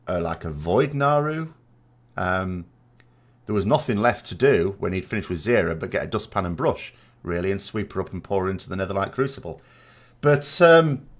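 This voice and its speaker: A man giving informal, speculative commentary as a gaming vodcast host, reasoning aloud as he goes. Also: on the low side. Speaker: video game lore commentator and vodcast host.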